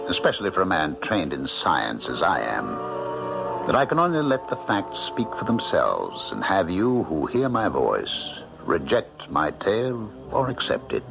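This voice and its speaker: An actor playing a rational, middle-aged country doctor in an old-time radio drama, speaking in a measured, educated, avuncular manner. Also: male voice, low voice, wildly swooping pitch, creaky, over music, full character voice. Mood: grave. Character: rational, skeptical country doctor, brisk and kindly. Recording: muffled, noisy 1970s radio broadcast.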